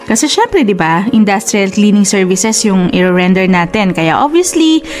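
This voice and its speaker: An actress plays a self-assured Filipina big sister in a radio drama. She speaks at a medium pitch, encouraging and supportive in a conversational way, over faint music. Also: very animated, moderate pace, darkish tone, lightly acted. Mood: confident, businesslike. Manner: encouraging, conversational, English-peppered. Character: confident, supportive older-sister business partner, brisk and reassuring.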